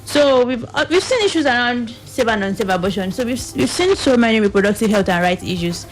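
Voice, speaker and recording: A woman's voice: fairly high pitch, animated, fairly quick, faint music behind, poor audio. A woman with a Nigerian accent explaining issues as a radio panel guest, somewhat hesitant, repeating phrases.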